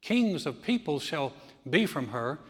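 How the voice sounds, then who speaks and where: A man preaching a sermon as pastor, moving from easy conversational teaching into loud, emphatic delivery. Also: a little high, big pitch swings, measured pace, big-room sound.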